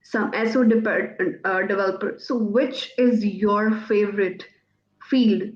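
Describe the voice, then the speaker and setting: Slow-spoken woman host from Pakistan. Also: medium pitch, steady tone, echoey room, muffled recording. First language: Urdu. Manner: slow, searching for words. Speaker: host, interviewer. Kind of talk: online interview questions.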